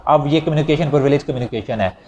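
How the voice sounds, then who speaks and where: A man's voice: a little high, fast talker, dull audio. A man with a Pakistani accent gives an explanatory law lecture, reading out clauses and then repeating and rephrasing them in a didactic way. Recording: clearly noisy recording with ambient sound.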